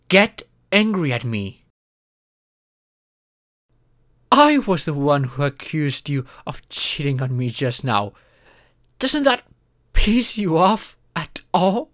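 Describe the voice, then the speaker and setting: A performer voicing a caring, earnest young romantic partner in an intimate ASMR roleplay, with deliberate pauses. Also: mid-range voice, a bit bright. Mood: provoking, shouting.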